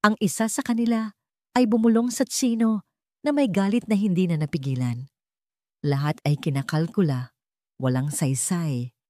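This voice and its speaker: A woman's voice: on the low side, normal speed, pauses often, mellow, dry recording, clean studio sound. A woman with a Filipino accent reading a scripted dramatic story as an audio narrator.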